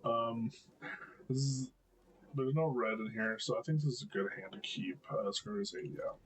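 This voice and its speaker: A man with a deep voice giving casual gameplay commentary, thinking aloud with lots of hesitant ums and restarts. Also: expressive, normal speed, a little hiss or hum, rough recording. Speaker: gameplay video creator.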